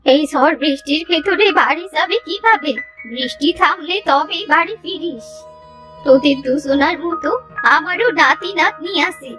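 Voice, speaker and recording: A woman's voice: high voice, normal speed, music in the background, lightly acted. A woman voicing a mysterious old village grandmother, coaxing and familiar, in a children's cartoon. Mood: plaintive, kindly. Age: older.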